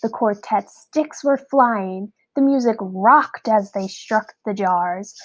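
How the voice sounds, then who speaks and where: A woman narrating a scripted children's story in an expressive, deliberate read-aloud style. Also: medium pitch, poor audio.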